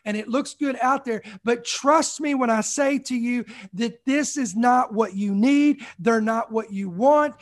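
A man in his forties preaching a sermon, emphatic, with repeated phrases and call-outs to the congregation. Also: very high-pitched. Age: 40s. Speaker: pastor.

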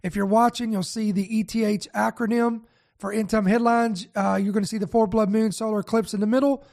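A male pastor hosting a teaching broadcast, raspy and nasal with a head cold, talking casually and straight to his viewers. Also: high-pitched, a little fast. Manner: relaxed, speaks straight to viewers. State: congested from a virus.

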